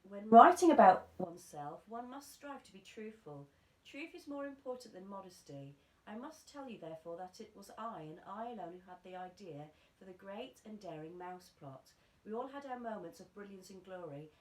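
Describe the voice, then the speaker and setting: A woman's voice: mid-range voice, animated, normal speed. A woman reading a children's story aloud, like a primary school teacher to her pupils. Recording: echoey room, faint background noise.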